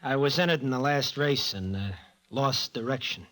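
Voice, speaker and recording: An actor playing a slippery, charming young racetrack tout with slangy, evasive talk in an old-time radio drama. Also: male voice, medium pitch, moderate pace, a bit bright, natural voice. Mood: guarded. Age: young adult.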